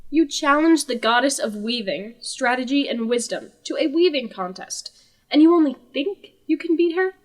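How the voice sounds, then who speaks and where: A sardonic god played by a woman hosts a talk show in a comic audio drama. The voice sits fairly high and swings widely in pitch, teasing and theatrical, with sarcasm throughout. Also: animated, moderate pace, poor audio. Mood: sarcastic teasing.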